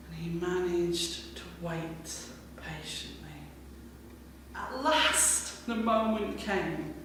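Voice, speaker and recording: A woman telling a folk tale as a storyteller, expressive and dramatic, with deliberate pauses. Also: medium pitch. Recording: very echoey hall, distant mic, faint noise.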